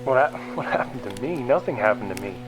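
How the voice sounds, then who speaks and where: A man's voice: mid-range voice, lively intonation, fast, over music, close to an everyday voice, rough recording. A man playing a frustrated mechanic at odds with his old best friend in a sci-fi audio drama, talking casually and argumentatively. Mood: hurt, accusing. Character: frustrated young-ish male mechanic hurt by estranged best friend.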